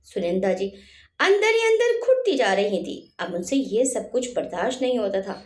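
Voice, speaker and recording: A woman narrating a scripted family story in an emotive, expressive way. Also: mid-range voice, moderate pace. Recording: roomy echo.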